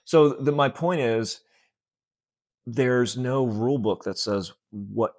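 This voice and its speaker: A man's voice: medium pitch, slow, a little mellow, no echo, clean studio sound. A man in his late fifties talking earnestly and casually as an interview guest, often breaking off to restart. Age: late 50s. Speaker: fitness center owner, interview guest.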